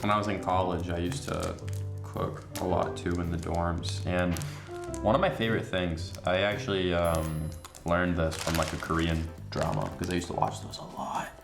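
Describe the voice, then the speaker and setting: A young man from southeastern Massachusetts chats easily about snacks, with frequent fillers. His voice is very low and gravelly. The studio sounds roomy, and music plays clearly behind him. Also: moderate pace. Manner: relaxed, chatty, with fillers. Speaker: guest. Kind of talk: casual chat, snack tasting.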